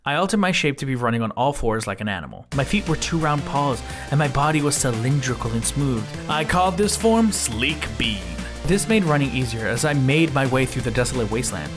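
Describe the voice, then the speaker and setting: A performer voicing a flippant, deadpan slime god who drifts through space, narrating an audio drama in the first person in a conversational, boastful way. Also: mid-range voice, brisk, few pauses, lightly acted. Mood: wry, matter-of-fact. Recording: music bed under the voice.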